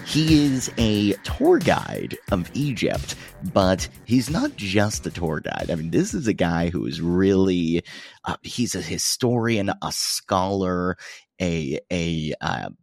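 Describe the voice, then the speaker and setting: A man hosting a podcast interview, talking in a halting way with many fillers and restarts. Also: deep voice, big pitch swings, vocal fry, darkish tone, soft background music. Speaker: podcast host. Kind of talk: conversational interview.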